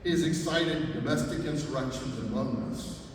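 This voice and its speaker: A man's voice: fairly high pitch, normal speed. A man giving a formal reading of a historical document to the public. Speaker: reader at a public event. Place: large echoing hall at a statehouse. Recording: echoey hall from far off, faint noise.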